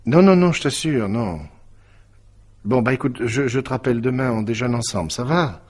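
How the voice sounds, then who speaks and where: A man acting a weary, reticent fellow in a French radio mystery drama, talking in a casual, halting, reluctant way. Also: mid-range voice, animated, darkish tone, natural voice. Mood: apologetic. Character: weary, reticent man declining company, informal.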